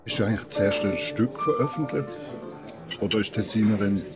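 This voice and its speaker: A man's voice: on the low side, a little fast, over loud music. A man asking questions conversationally as an interviewer. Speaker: interviewer, radio maker.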